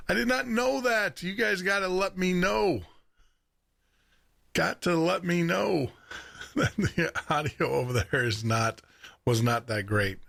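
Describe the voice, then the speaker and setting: Chatty male radio host, deep baritone with gravel, talking into a studio mic. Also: fairly bright tone. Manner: chatty, laughs often, repeats words. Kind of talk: casual live radio chat. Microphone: studio microphone with headphones.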